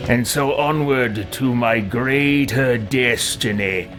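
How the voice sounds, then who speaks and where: A man's voice: medium pitch, takes it slowly, lightly acted. A man voicing a pompous, grandiose adventurer in video game roleplay, haughty and theatrical, stammering when confused. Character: pompous, grandiose male adventurer bent on ruling. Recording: game music behind the voice.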